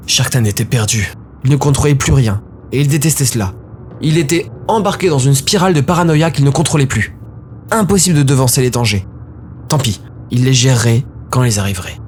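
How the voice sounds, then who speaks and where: A man's voice: mid-range voice, expressive, brisk, slight background noise. A man narrating a scripted story dramatically, in short, brooding, suspenseful phrases. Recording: music behind the voice.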